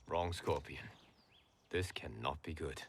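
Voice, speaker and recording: A man's voice: a bass voice, slow pace, heavy background noise. A man playing an earnest, wary warrior monk in a video game, speaking with urgency. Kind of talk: video game cinematic acting.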